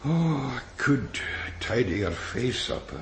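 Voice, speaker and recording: An actor playing a blunt, world-weary police pathologist in a radio crime drama. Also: male voice, medium pitch, sing-song. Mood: reluctant, frank. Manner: blunt, dry, hesitant pauses. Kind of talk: naturalistic radio drama acting. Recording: roomy echo, faint background noise.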